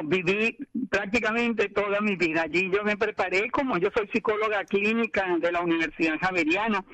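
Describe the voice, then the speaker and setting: A woman's voice: medium pitch, fairly bright tone, no room sound, highs cut off. A middle-aged Colombian woman, a guest Bible teacher, answering an interview in an earnest storytelling way. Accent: Colombian Spanish.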